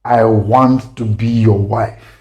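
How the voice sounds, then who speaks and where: A man voicing a bold, calm, vengeful girl recounting her past in slow, deliberate, halting phrases, as in dramatic storytelling. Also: on the low side. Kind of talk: dramatic first-person storytelling.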